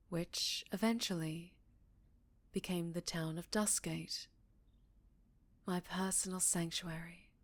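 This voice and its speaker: A woman playing a centuries-old vampire leader, speaking softly and breathily in an intimate ASMR roleplay. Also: lowish voice, no echo. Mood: brooding, proud. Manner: soft, breathy, measured, with whispered phrases. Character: centuries-old female vampire town leader, secretive, wistful, tender.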